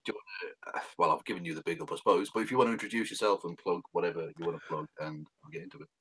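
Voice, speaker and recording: A man's voice: deep voice, very fast, a bit bright, husky, poor audio. A man with an English accent co-hosting a casual, joking online chat, often interrupting himself. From England. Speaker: co-host.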